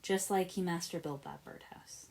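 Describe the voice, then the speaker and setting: A woman's voice: on the low side, steady tone, moderate pace, a little mellow, natural voice. A woman voicing a resolute, reassuring young male hero in a read-aloud story.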